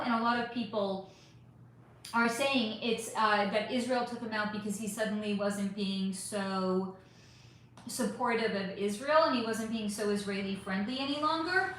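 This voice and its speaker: A woman hosting a casual live stream, answering chat questions in an emphatic, rambling way with swearing, her voice a bit hoarse. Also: medium pitch, moderate pace, a bit bright, a little hiss or hum. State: voice going hoarse. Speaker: psychic channel host reading live chat. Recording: heavily echoey room.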